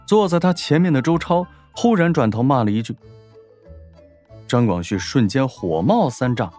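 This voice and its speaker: A man's voice: mid-range voice, animated, moderate pace, darkish tone, faint music behind. A man narrating a scripted story as an audiobook narrator, in a dramatic storytelling style.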